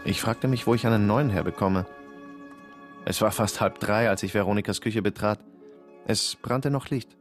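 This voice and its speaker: An actor narrating a radio drama in the first person, calm and understated. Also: male voice, fairly low pitch, normal speed, soft background music, natural voice.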